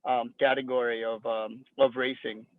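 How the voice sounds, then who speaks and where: A man's voice: medium pitch, flat delivery, normal speed, no room sound. A man in his fifties from the Philippines, a jet ski racer giving an interview, talking loosely with many fillers and restarts. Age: 50s. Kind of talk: interview, casual talk. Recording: tinny phone-like line.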